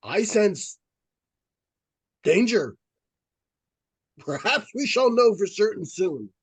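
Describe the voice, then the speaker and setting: A man performs a solemn ghost hunter from a vintage radio thriller. He is formal and portentous, with a high, widely swinging voice. Each phrase comes out slowly and deliberately, with theatrical gravity. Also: lively intonation, slightly rough, heavily acted. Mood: solemn, foreboding. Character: earnest, portentous male psychic researcher and ghost hunter. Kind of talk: live old-time radio suspense acting.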